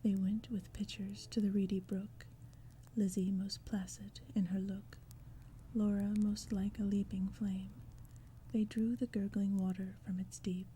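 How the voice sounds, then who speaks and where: Very soft, dark whispering woman. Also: medium pitch, little pitch movement. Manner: gentle, measured, hushed storytelling. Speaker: audiobook reader. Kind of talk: ASMR whispered poetry reading. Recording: faint ambient crackling fire and forest sounds. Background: crackling fire, forest ambience.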